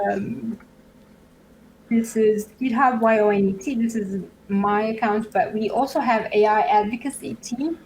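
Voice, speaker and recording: A woman's voice: a little high, fairly even intonation, a little slow, a little mellow, a little hiss or hum, low-quality recording. Hesitant woman, Turkish native speaker. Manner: hesitant, many fillers, laughs often. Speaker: guest developer advocate. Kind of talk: casual tech demo chat.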